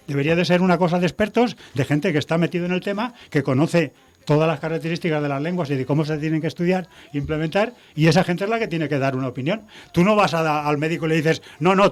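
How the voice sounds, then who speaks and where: A man's voice: on the high side, fast talker. A middle-aged man from Cheste, hosting a radio talk show and arguing his views in a rambling way with many restarts. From Cheste, Valencia, Spain. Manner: rambling, restarts words, argues with everyday comparisons. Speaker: radio host.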